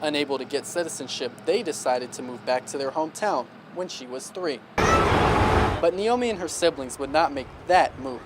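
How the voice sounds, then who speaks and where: A man's voice: fairly high pitch, animated, normal speed, background noise. A man working as a television news reporter, delivering scripted reports and stand-ups on location.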